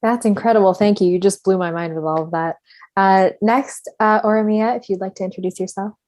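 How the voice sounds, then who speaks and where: A woman hosts a panel over a video call, chatting casually and warmly with plenty of fillers. She sounds a little tired, and her voice sits close and dry with no room echo. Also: mid-range voice, fairly quick. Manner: casual, friendly, filler-heavy. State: fasting, a bit tired. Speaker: panel moderator.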